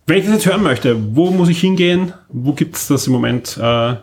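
A man's voice: a little high, animated, normal speed, a little mellow. A man with an Austrian accent hosting a casual podcast discussion, repeating phrases for emphasis. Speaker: podcast host.

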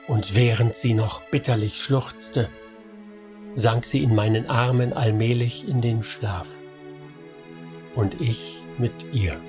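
A man narrating a radio play in a measured storytelling voice. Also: mid-range voice, close to an everyday voice.

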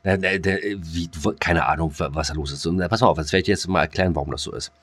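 Low-voiced guy goofing around on a podcast, lots of uhs, dry close sound. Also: racing, a bit bright, breathy edge, no echo. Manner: rambling, full of ums and restarts. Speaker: podcast co-host. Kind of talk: joking casual chat.

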